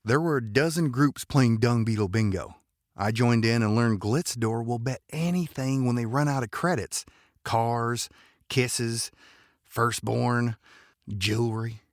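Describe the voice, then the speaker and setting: A man playing a protective, smitten, folksy romantic lead in an audio drama, earnest and halting, with a gravelly voice. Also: mid-range voice, dry recording, studio quality, natural voice. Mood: conspiring.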